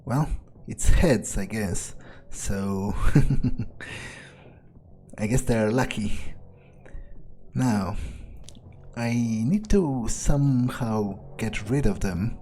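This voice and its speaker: A man's voice: on the low side, extremely slow, long pauses, mellow, slight background noise. A man doing in-character roleplay commentary over a game, thinking aloud hesitantly with casual menace. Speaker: gaming video player. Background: faint music.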